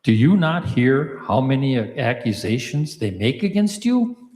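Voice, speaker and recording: A man playing a Roman governor in a dramatic scripture reading, speaking in a deliberate, declamatory way in a church. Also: mid-range voice, very animated, a little mellow. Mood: questioning, insistent. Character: Pilate, questioning Roman governor, adult man. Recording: echoey church hall with long reverb.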